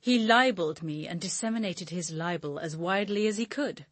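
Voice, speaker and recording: An actress playing a proud, unyielding administrator in a radio drama, scornful and insistent. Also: female voice, fairly low pitch, brisk, dry recording, lightly acted. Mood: indignant, firm.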